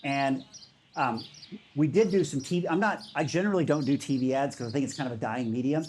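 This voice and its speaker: A man's voice: medium pitch, a little fast, darkish tone, slight background noise. A man giving a conference talk in a casual, enthusiastic way, with many fillers. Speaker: speaker.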